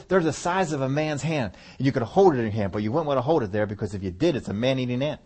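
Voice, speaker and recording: A man telling a story in a sermon, folksy and chuckling now and then, dropping low and soft on key lines. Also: slightly rough.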